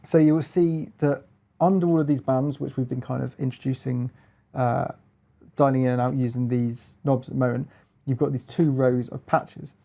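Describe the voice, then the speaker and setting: A man giving a casual hands-on demonstration as a gear reviewer, explaining in a halting, hesitant way. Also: medium pitch, fairly even intonation, creaky, warm tone.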